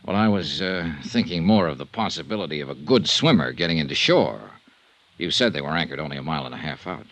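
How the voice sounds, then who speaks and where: A man's voice: extremely low pitch, expressive, quick pace, a bit bright, no echo, full character voice. A man playing a cool, skeptical insurance investigator in a radio noir, laconic and probing. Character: cool, skeptical male insurance investigator, hard-boiled detective. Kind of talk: 1950s radio noir acting. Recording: noisy vintage radio recording.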